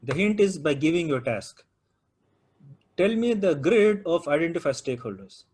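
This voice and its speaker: A man's voice: fairly high pitch, very animated, moderate pace, darkish tone, husky. A man whose first language is Urdu, teaching a project management class and prodding students with questions.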